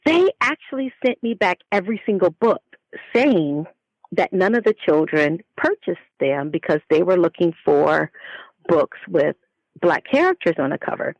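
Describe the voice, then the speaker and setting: A woman being interviewed as an author, telling her stories in an expressive, conversational way. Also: on the low side, moderate pace, dry recording, highs cut off. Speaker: guest, children's book author.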